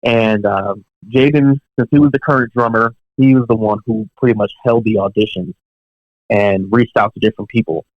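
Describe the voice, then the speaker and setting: A young man, a professional drummer, telling career stories in a relaxed, chatty way with lots of fillers and asides. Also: on the low side, normal speed, dry recording. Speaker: professional touring drummer, podcast guest. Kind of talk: casual storytelling in an interview. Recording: dull, dry lo-fi podcast audio.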